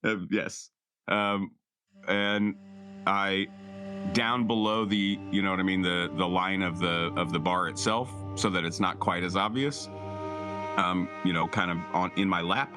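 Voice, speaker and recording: A man's voice: deep voice, fairly even intonation, unhurried, music in the background. A man chatting casually and informally as a player in a tabletop role-playing game.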